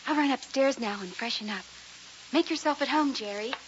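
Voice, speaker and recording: An actress playing an anxious, affectionate wife in a vintage radio drama, with an eager, earnest, expressive delivery. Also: female voice, high-pitched, brisk, close to an everyday voice. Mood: glad, affectionate. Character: anxious, affectionate young wife in a haunted house. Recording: muffled hissy vintage radio recording.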